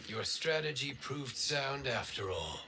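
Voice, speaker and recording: A dignified wind god who speaks in a composed, formal manner. His voice sits in a middle range, and loud score music runs beneath him. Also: mid-range voice, sing-song. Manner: formal, measured.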